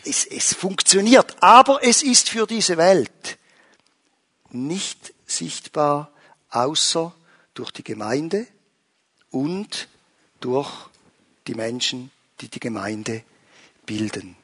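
A man preaching a sermon to his congregation, rising in pitch and volume when he stresses a point. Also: takes it slowly, long pauses, breathy edge. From Switzerland. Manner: animated, rises in pitch and volume for emphasis. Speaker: pastor.

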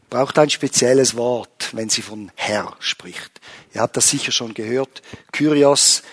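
Man, pastor, sermon, husky, emphatic. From Switzerland. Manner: animated, rises in pitch and volume for emphasis.

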